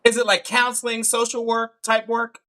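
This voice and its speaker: A man's voice: extremely high pitch, monotone, normal speed, sharp tone. A man hosting a live online dating show over a video call, teasing his guest and laughing often.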